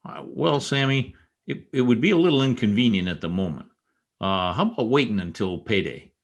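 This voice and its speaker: A man's voice: medium pitch, expressive, a little fast. A man playing a wry, easygoing newspaper reporter in a radio comedy, with a joking delivery. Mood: wry, evasive. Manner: wry, joking, hesitant asides. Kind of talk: old-time radio comedy acting. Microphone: video call.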